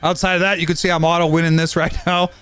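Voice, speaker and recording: A man in his fifties giving a rambling, casual update on his gaming channel, talking fast with frequent fillers and restarts. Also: fairly high pitch, flat delivery, fairly bright tone, smooth. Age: 50s.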